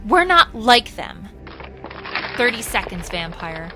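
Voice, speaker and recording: A woman's voice: a little high, big pitch swings, slow, music in the background. A woman playing a tough, principled human vampire hunter in a fantasy audio drama, commanding and taunting. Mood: stern command. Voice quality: bright, forceful.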